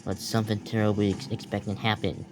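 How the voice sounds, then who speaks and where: A young man narrating a mock emergency announcement in a halting way, stumbling and repeating words. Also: lowish voice, level tone, music in the background, rough recording. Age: teenager or young adult.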